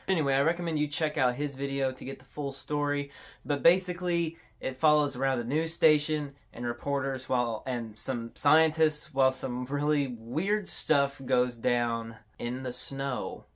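A man hosting a countdown in casual commentary, with an informal, self-deprecating way of talking. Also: mid-range voice. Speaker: host of a horror video countdown.

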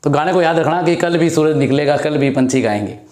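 A middle-aged man, slightly hoarse from a throat infection, teaching a finance lesson at a blackboard and repeating the key numbers step by step. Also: fairly high pitch, a little fast, darkish tone, echoey room. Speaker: stock market educator. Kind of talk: blackboard lesson and screen walkthrough. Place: indoor room with a blackboard.

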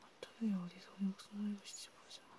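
A woman voicing a cautious vampire healer in a hushed, intimate, concerned whisper for an ASMR role play. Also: medium pitch, little pitch movement, very fast, close to an everyday voice. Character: cautious female vampire healer tending a hunted friend.

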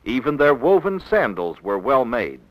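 An American man narrating a 1960s educational film in a measured, didactic, clearly enunciated way, on an old film soundtrack. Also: mid-range voice, big pitch swings, no room sound, highs cut off.

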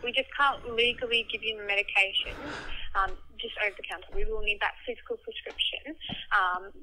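A woman's voice: medium pitch, steady tone, brisk, pushed and bright, a little hiss or hum. A woman speaking politely and hesitantly as a pharmacy worker on a customer service call over the telephone. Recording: thin telephone line.

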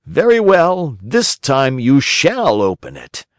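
A man's voice: medium pitch, wildly swooping pitch, no echo, clean studio sound, voice pushed up. A man voicing a brutal, impatient storybook assassin, growling threateningly and drawing out his words. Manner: threatening, drawn-out.

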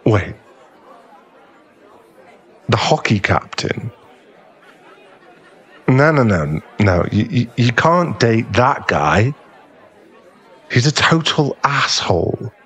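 A man playing a young werewolf bar owner in an ASMR boyfriend roleplay, teasing but secretly tender, with a halting, stammering delivery. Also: mid-range voice, a bit bright, husky, full character voice. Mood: indignant, flustered.

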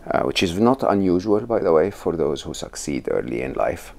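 A man in his fifties from Egypt, a podcast guest talking in a measured, thoughtful way with many pauses, recorded on a lapel mic. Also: sits low, sing-song, gravelly, a little mellow, a little hiss or hum. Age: 50s. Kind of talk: reflective interview talk. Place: bright studio office with plants.

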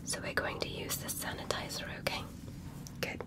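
A woman softly whispering an ASMR medical roleplay as a gentle alien examiner, speaking slowly and reassuringly up close.

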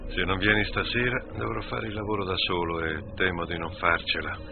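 An actor playing a weary, bitter bounty hunter in an Italian radio drama, terse and downcast. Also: male voice, deep voice, fairly even intonation, fairly quick, creaky. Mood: tired, dejected. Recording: muffled phone-like audio, music behind.

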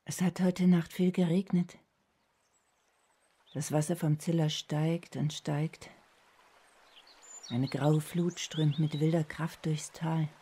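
An actress playing a fearful woman who relives her childhood in a trance, halting and intense, in an audio drama. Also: low-pitched, level tone, dark tone, slightly rough, heavily acted. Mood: entranced, solemn.

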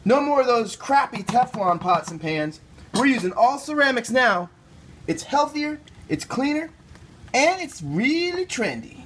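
A young American man hosts a homemade cooking video with very animated pitch. He talks in a casual, slangy, playful way as he explains the steps. There is faint background noise in the recording. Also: unhurried. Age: young adult. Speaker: cooking show host. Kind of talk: casual recipe instruction. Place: home kitchen and grocery store, indoors.

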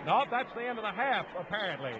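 A male sports announcer with a high voice gives clipped football commentary in 1950s radio style. The recording is dull and band-limited, with clear noise over it. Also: big pitch swings, fast talker. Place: stadium broadcast booth.

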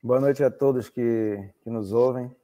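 Um homem na casa dos cinquenta, de Salvador, com sotaque baiano, contando sua trajetória numa entrevista por videochamada, com pausas e repetições. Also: male voice, mid-range voice, fairly even intonation, mellow. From Salvador, Bahia, Brazil. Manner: hesitant, repeats words while recalling. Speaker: interviewee, oceanographer. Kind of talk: relaxed storytelling interview. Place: home room with shelves. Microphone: video call.

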